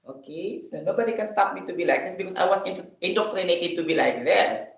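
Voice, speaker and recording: A man's voice: high voice, expressive, fast talker, gravelly, a bit bright, reverberant. A man chatting casually in a vlog while he eats, rambling with fillers, asking himself questions and laughing. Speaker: vlogger.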